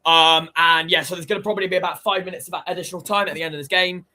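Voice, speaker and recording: A man's voice: high-pitched, steady tone, racing, sharp tone. A man hosting a stream, chatting casually and loosely commentating on a football match, with plenty of fillers. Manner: laid-back, rambling, with many fillers.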